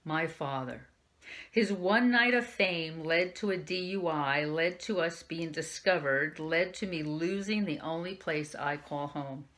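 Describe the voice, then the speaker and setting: A woman's voice: low-pitched, unhurried, fairly bright tone. A woman librarian reading a young adult novel aloud in the first person, lifting her voice for the quoted lines. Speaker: youth services librarian.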